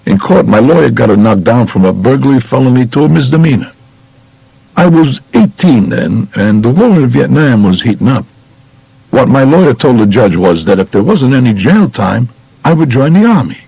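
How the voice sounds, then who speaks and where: Actor as husky streetwise New York mobster. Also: male voice, medium pitch, sing-song, normal speed, warm tone, no room sound, heavily acted, poor audio. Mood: matter-of-fact. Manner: blunt, streetwise, slangy. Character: street-tough young Brooklyn mobster recounting his life, unrepentant. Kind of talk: first-person audiobook memoir, colloquial.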